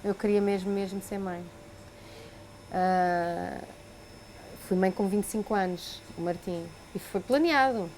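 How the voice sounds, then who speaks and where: Mellow woman recalling motherhood. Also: mid-range voice, normal speed, a little hiss or hum, poor audio. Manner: warm, laughing storytelling. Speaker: interviewee. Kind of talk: candid interview. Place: hotel room.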